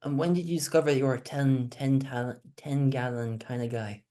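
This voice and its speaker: A man's voice: medium pitch, fairly even intonation, moderate pace, dark tone. A man hosting an interview podcast, introducing his guest with upbeat enthusiasm and asking personal questions. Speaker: podcast host.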